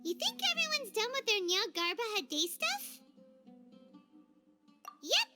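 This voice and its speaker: Tiny excitable game fairy: very high squeaky voice, big melodic swings, music behind. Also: female voice, takes it slowly, exaggerated voice. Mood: chatty. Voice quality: squeaky, childlike. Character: small excitable female fairy companion, childlike and chatty. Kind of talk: video game cutscene acting.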